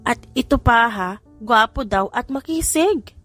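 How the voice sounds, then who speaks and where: A woman's voice: fairly high pitch, expressive, a little slow, fairly bright tone, no echo. Heroine's giddy nurse friend, woman reading: young Filipina, chatty, gossipy, romance audiobook, music underneath. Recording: music bed behind voice.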